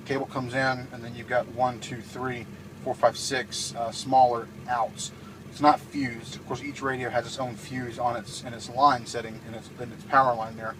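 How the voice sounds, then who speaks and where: A man's voice: medium pitch, flat delivery, moderate pace. A man informally explaining in a casual, hands-on walk-through as a hobbyist demonstrating his own gear. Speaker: amateur radio hobbyist presenting his truck installation. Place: outdoors beside a truck, later inside its cab. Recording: noisy handheld vehicle video, ambient noise.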